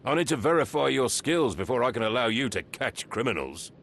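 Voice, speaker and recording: Gravelly, scornful drill sergeant for a game, lively delivery, faint rumble. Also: male voice, a little fast. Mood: stern. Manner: scornful, commanding. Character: gruff stern drill sergeant, older man. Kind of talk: video game voice acting.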